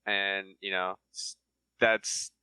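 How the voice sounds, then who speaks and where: A man's voice: low-pitched, flat delivery, slow pace, bright, forceful tone, smooth, no room sound, low-quality recording. A man co-hosting a podcast in casual conversation. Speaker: podcast co-host. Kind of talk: casual chat.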